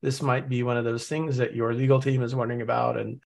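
A man's voice: mid-range voice, little pitch movement, quick pace, creaky, darkish tone. A man from Norway, hosting a podcast in casual chat, thinking aloud as he reads through material with frequent restarts. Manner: thinks aloud, reading and musing with many restarts. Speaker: podcast host. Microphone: remote call setup.

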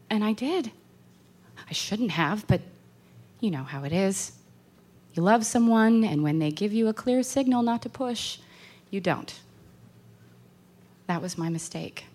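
An actress playing an anxious, haunted woman in a horror radio play, confiding in a halting, intense way. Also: medium pitch, a little mellow. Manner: halting, intense confessional delivery. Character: anxious, haunted woman recounting an insect terror. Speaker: actor.

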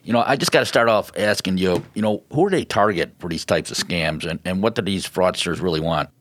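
A man's voice: low voice, brisk. A man, casually interviewing as a podcast co-host.

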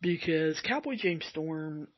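A man hosting a solo podcast, recapping in a rambling way with fillers, his voice getting a bit raspy. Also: a little high, expressive, slow, no room sound, lo-fi sound. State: slightly raspy voice. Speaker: podcast host.

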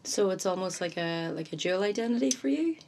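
A woman's voice: medium pitch, lively intonation, brisk, gravelly, darkish tone, a little hiss or hum. A woman hosting a podcast interview, guiding the talk with friendly questions and fillers. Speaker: podcast host.

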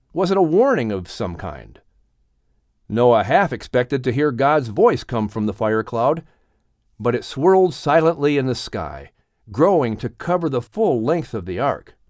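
A man narrating an audiobook story in an expressive, dramatic storytelling manner. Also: mid-range voice, no echo, clean studio sound.

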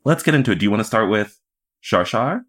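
A man's voice: fairly low pitch, lively intonation, quick pace, a bit bright. A man co-hosting a podcast in casual banter, with a blunt, teasing, sardonic manner. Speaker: podcast co-host.

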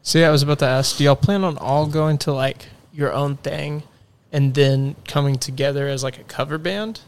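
A man's voice: a little high, fairly even intonation, normal speed, clear, resonant tone. A young man, hosting a casual podcast and asking his friend easygoing questions. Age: young adult. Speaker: podcast host. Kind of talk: casual chat.

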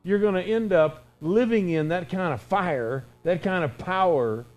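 Folksy older male preacher, higher-pitched voice. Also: measured pace, soft background music. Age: 60s. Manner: folksy, acts out imagined dialogue, emphatic repetition. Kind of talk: informal, conversational sermon.

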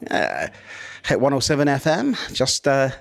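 Male comedy guest, mixed Scottish and Australian accent, medium pitch, wry, self-deprecating, casual. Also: huge pitch swings, unhurried, creaky. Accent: Scottish-Australian hybrid. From Scotland. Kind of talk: casual interview chat.

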